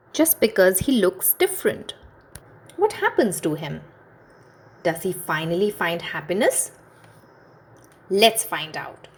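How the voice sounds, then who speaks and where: A woman reading a children's story aloud in an expressive, deliberate and instructive way, as host and narrator. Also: lowish voice, a little hiss or hum.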